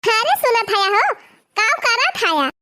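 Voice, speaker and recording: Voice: very high-pitched, big pitch swings, takes it slowly, bright, forceful tone, heavily acted. A performer voicing a nagging, determined village wife in a comedy cartoon, scolding and complaining with an Awadhi accent. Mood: coaxing.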